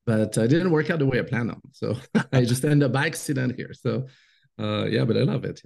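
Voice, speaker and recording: A man's voice: medium pitch, fairly quick, gravelly, a little mellow. A man with a French accent, chatting casually as a podcast guest, hesitant with frequent fillers and laughs. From France. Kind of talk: casual interview chat.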